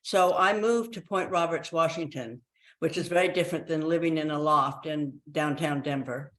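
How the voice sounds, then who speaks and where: A woman's voice: deep voice, normal speed, fairly bright tone. A woman in her eighties speaking as an interview guest, telling her own story in a reflective, conversational way. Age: 80s.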